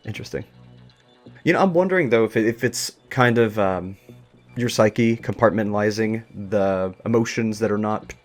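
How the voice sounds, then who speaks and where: A man's voice: fairly low pitch, normal speed. A man hosting a casual interview, musing aloud and feeling his way tentatively through his questions. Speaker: interview show host. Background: music.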